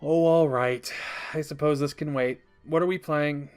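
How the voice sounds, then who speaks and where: A man voicing a doting father in a story, gentle and indulgent, playfully theatrical. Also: on the high side, fairly even intonation, a little slow, clean tone, soft background music, natural voice. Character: doting young father playing at pantomime villain.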